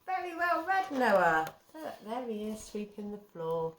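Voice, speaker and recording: Woman as storytime helper, encouraging, animated intonation, noisy roomy recording. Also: medium pitch, measured pace. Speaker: adult helping a child reader. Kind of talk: casual comments to a child during storytime. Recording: noisy, echoey room.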